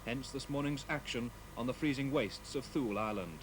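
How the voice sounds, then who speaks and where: A man's voice: mid-range voice, moderate pace. A man narrating a scripted news report. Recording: clearly noisy old TV broadcast tape.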